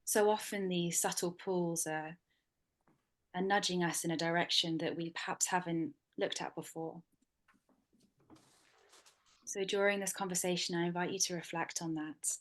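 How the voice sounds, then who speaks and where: A woman hosting and moderating an online panel over a video call, speaking in a soft, measured way with frequent ums. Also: fairly low pitch, steady tone.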